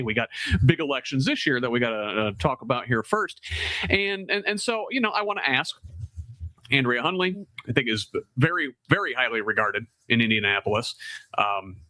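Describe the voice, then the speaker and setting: Animated male town hall host. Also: mid-range voice. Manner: animated, with many fillers. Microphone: video call.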